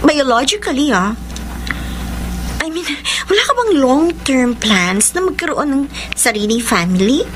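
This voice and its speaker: A young Filipino woman in a radio drama, hopelessly in love and nervous about it. Her high voice is emotional and hesitant, with faint noise behind it. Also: big pitch swings, unhurried, a bit bright, heavily acted, poor audio. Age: young adult. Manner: emotional, hesitant, Tagalog-English mixing. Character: lovestruck, anxious 21-year-old woman confessing to her best friend.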